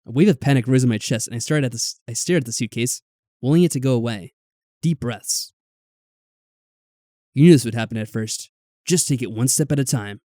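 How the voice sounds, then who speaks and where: A man's voice: mid-range voice, brisk, long pauses, mellow, dry recording, clean studio sound. A man reading a visual novel aloud as a let's player, dropping in wry, exasperated casual asides. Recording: background music.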